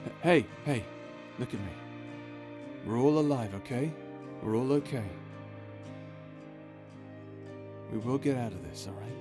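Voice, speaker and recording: A man acting in an ASMR audio drama as a caring, steady space pirate captain with a casual, teasing, reassuring manner. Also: mid-range voice, moderate pace, close to an everyday voice. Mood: tender, reassuring. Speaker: voice actor in audio roleplay. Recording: music under the voice. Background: music.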